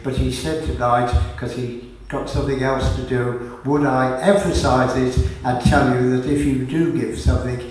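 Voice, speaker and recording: A man's voice: medium pitch, fairly even intonation, moderate pace, few pauses, a little mellow. An older man making a hesitant, polite, informal closing announcement to an audience. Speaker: charity chairman thanking a play audience. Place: echoey indoor venue, recorded from a distance. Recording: echoey room, distant microphone.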